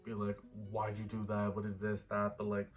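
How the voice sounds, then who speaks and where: A man's voice: on the low side, monotone, normal speed, faint music behind. A man in his early twenties chatting casually in a couple Q&A vlog, easygoing and rambling with fillers. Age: 20s. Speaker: vlogger.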